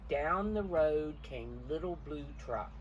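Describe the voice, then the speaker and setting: Low-voiced older woman reading slowly to preschoolers. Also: expressive, a little mellow, lo-fi sound. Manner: simple explanations, questions to the listeners, emphatic. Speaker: children's storybook reader and teacher. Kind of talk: picture-book read-aloud for preschoolers, talking to the children. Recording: distant mic with faint background noise.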